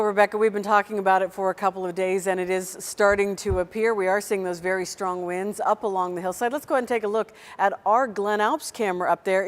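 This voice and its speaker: A woman presenting a television weather report as a forecaster, talking through conditions in an unscripted style. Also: mid-range voice.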